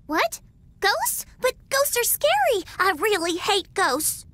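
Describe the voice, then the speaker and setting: A squealing, bratty little girl from a video game. She sits extremely high and sounds bright and sharp, swooping all over her range in close, dry sound. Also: sing-song, slow, no room sound, exaggerated voice. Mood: whiny. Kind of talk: video game cutscene voice acting.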